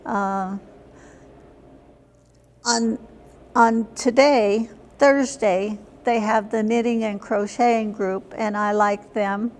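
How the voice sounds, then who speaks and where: An older woman giving plain, matter-of-fact conversational answers as an interview guest, sometimes halting. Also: mid-range voice, fairly even intonation. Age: older, senior. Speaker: interview guest, senior center member.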